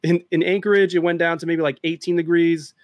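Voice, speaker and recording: A man's voice: on the high side, brisk, no room sound, dull audio. Chatty man with a dry sound. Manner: relaxed, chatty, explains at length. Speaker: drone filmmaker guest. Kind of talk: casual interview chat.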